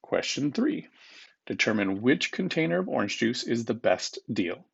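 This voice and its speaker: A man's voice: medium pitch, big pitch swings, moderate pace, gravelly, breathy edge, highs cut off. A man speaking as a teacher, reading test questions aloud to students in an informal, instructive manner and repeating them.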